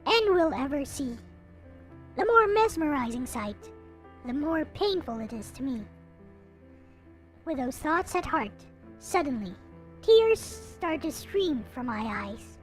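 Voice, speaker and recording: High-pitched, theatrical woman narrator over music. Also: very animated, slow pace, long pauses. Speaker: video creator reading a visual novel aloud. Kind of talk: dramatic read-aloud narration of a visual novel. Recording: music playing behind the voice. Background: visual novel soundtrack music.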